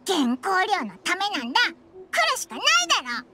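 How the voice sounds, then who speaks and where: A woman voicing a tiny, childlike, grumbling fairy companion in an anime game, squeaky and brash with boyish wording. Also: big pitch swings, fairly quick, bright, forceful tone, exaggerated voice. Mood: grudging. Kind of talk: anime video game voice acting.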